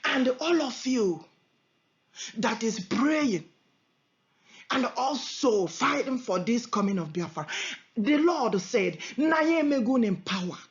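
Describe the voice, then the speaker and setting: A woman's voice: on the high side, expressive, slow pace, long pauses. A woman with a Nigerian Igbo accent preaching and praying in a fervent prophetic style, emphatic and repetitive, switching between Igbo, English and Pidgin. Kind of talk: fervent prophetic sermon and prayer. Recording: dull lo-fi audio.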